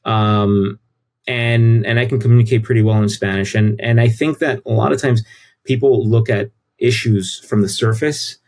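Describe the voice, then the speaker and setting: Low-voiced man. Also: steady tone, moderate pace, darkish tone. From United States. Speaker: interview guest, tech company CEO. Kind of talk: casual conversational interview.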